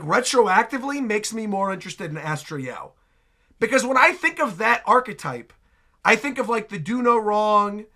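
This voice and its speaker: A man giving casual, excited reaction commentary as a YouTuber, animated and slangy with lots of exclaiming. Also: high voice. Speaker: YouTuber reacting to game trailers.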